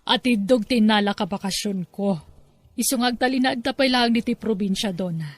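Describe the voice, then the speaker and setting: A woman's voice: fairly high pitch, moderate pace, no room sound, lightly acted. A woman playing a stubborn single mother in an Ilocano drama podcast, speaking with an Ilocano accent. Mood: evasive, insistent.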